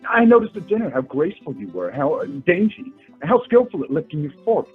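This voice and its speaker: An actor playing an earnest, polite young suitor in a radio play, courteous but halting and flustered. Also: male voice, on the high side, big pitch swings, husky, full character voice. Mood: nervous, courting. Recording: tinny phone line with music behind.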